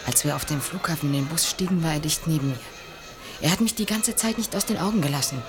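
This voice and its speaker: A woman's voice: sits low, quick pace, music in the background. An actress playing a proud, wary young businesswoman in a German radio play, cool and pointed in her delivery. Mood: anxious, watchful. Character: proud, wary young businesswoman seeking independence from rich father.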